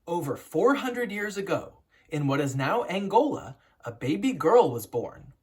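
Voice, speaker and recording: A woman narrates a children's storybook in a noticeably husky, unpolished voice. Her pitch moves around in a lively way. She tells it like a teacher, with dramatic, deliberate pauses. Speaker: teacher reading a picture book aloud.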